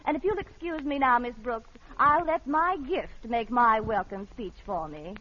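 A woman's voice: a little high, expressive, moderate pace, a bit bright. A woman playing a haughty, catty, well-off rival teacher, condescending and falsely sweet, in a vintage radio sitcom. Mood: smug, catty. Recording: muffled old radio broadcast, studio audience.

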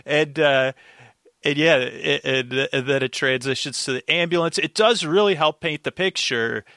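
A man's voice: a little high, moderate pace, sharp tone, no room sound, studio quality. A man guesting on a recap podcast, chatting casually and enthusiastically in rambling, half-finished thoughts. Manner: rambling, enthusiastic, quotes his own reactions.

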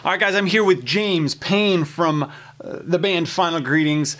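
A man's voice: fairly high pitch, a little slow, a bit bright. A man with an American accent presenting and interviewing as a show host in an informal, enthusiastic, conversational way.

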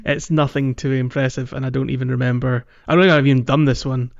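A man hosting a podcast, chatting casually about a video game in a hesitant, halting way with repeated words. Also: mid-range voice, little pitch movement, creaky, no room sound, highs cut off. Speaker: podcast host.

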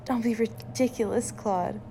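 A woman playing a caring, sensible scientist, wife and mother in an audio drama, with a gentle, earnest and expressive delivery. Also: on the high side, measured pace, slight background noise. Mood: doubtful.

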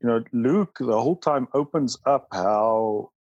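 A man's voice: medium pitch, measured pace, a little mellow, dry recording, muffled recording. A South African man whose first language is Afrikaans, speaking as a church leader in an interview, thoughtful, talking in bursts with many fillers and restarts. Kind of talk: interview conversation.

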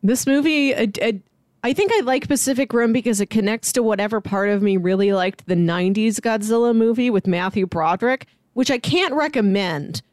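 A woman's voice: on the high side, brisk, darkish tone, no echo, studio quality. A woman co-hosting a casual film podcast, explaining things in an earnest, conversational way. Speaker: podcast co-host.

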